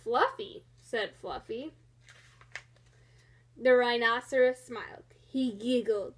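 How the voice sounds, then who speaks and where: Female storybook narrator, high-pitched, very measured pace, expressive read-aloud for children. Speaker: storybook reader.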